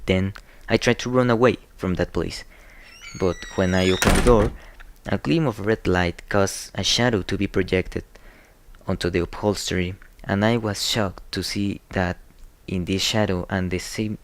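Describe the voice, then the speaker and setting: A man's voice: sits low, fairly even intonation, moderate pace, no echo. A man playing an uneasy, intrigued young nobleman telling his story in an amateur radio drama.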